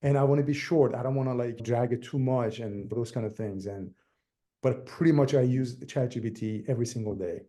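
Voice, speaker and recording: A man's voice: mid-range voice, brisk, warm tone. A man from Iran with a Persian accent, a native Farsi speaker, talking casually as a guest in an interview, in loose run-on sentences full of restarts. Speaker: guest realtor.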